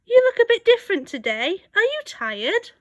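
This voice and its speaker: A woman's voice: very high voice, big pitch swings, normal speed, no room sound, lo-fi sound, pitched above the performer's own voice. A woman voicing a curious, bookish little girl from a storybook, polite but bold, in a questioning, exclamatory manner. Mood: concerned.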